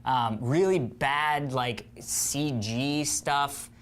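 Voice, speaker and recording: A man's voice: medium pitch, extremely slow, a bit bright, smooth. A man co-hosting a film review show in casual talk, blunt and mocking in how he puts things.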